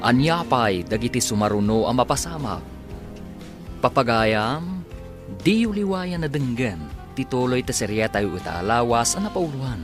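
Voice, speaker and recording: A man's voice: medium pitch, very animated, normal speed. A man announcing a radio drama, reading a scripted introduction and credits. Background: loud music.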